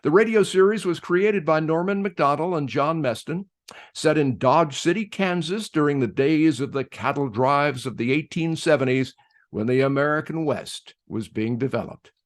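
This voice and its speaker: A man hosting, reading a scripted introduction to a radio play in a measured, emphatic announcer-like way. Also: on the high side.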